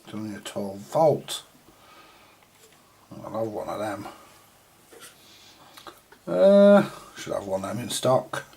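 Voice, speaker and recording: A man giving a casual, halting, mildly sweary thinking-aloud commentary as he does hands-on repair work, often muttering to himself. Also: fairly low pitch, very animated, gravelly, dark tone. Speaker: repairer presenting a hands-on video. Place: indoor repair workbench.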